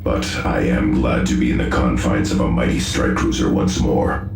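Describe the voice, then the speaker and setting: Formal cyborg space marine techie from a game, super deep, echoey with music behind. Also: male voice, level tone, gravelly, exaggerated voice. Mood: grave. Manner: measured, formal, technical. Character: cybernetic tech-priest space marine, male, formal, stoic survivor. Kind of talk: scripted video game cutscene acting.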